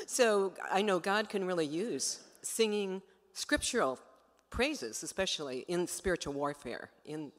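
Woman, deep for her sex, audience member, informal spoken comment, long hall reverb. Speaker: audience member, film mission worker. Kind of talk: personal comment and question from the audience.

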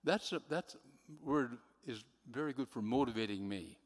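A man's voice: mid-range voice, animated, moderate pace. A man giving an earnest, somewhat rambling personal testimony from the audience at a religious gathering. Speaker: audience member. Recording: reverberant hall.

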